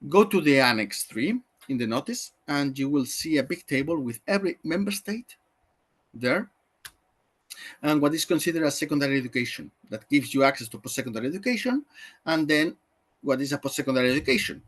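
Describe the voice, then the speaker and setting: A man's voice: on the high side, animated, a little fast. A man from Spain with a Spanish accent, explaining things in a webinar over a video call, talking loosely with many fillers and self-corrections. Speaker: webinar trainer.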